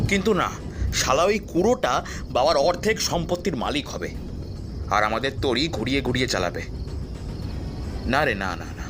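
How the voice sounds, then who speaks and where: A man plays a greedy, jealous young brother in a horror cartoon. His pitch swings widely as he speaks with scorn and resentment, music clearly behind him. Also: natural voice.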